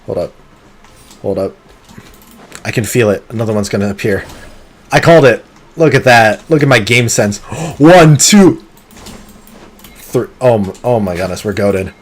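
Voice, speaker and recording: A man streaming a game live, talking through it in an excitable, competitive way, with sudden gasps and pleading. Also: medium pitch, normal speed, pauses often, breathy edge. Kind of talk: live gameplay commentary.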